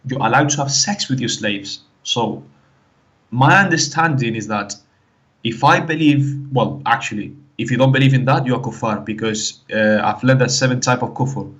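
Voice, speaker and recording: A man with a Spanish accent from the Canary Islands, a guest in a casual interview, speaking haltingly and filling pauses with "like, you know". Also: medium pitch, a bit bright. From Canary Islands, Spain.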